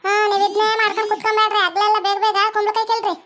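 A woman's voice: very high-pitched, level tone, very fast, fairly bright tone. A woman voicing a bossy, impatient female farm owner in a village cartoon, repeating orders insistently in a North Karnataka accent. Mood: impatient, scolding.

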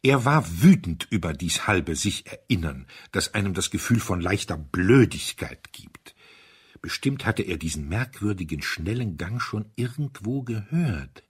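A man narrating a mystery story from a script in an expressive, dramatic storytelling manner. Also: deep voice, a little slow, husky. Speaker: audiobook narrator.

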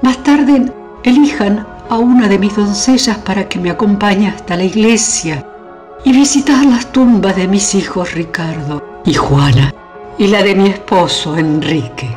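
A woman acts out an aged medieval queen mother for a radio serial. She speaks slowly and grandly in an Argentine accent, imperious yet nostalgic and bawdy, over faint music. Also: medium pitch, a little mellow, natural voice. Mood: solemn, melancholy. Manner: regal, slow, theatrical. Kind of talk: radio drama acting.

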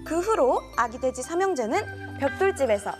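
A woman's voice: high-pitched, sing-song, normal speed. A woman narrating a children's story in a sweet, sing-song voice-over. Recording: voice-over over jingly music.

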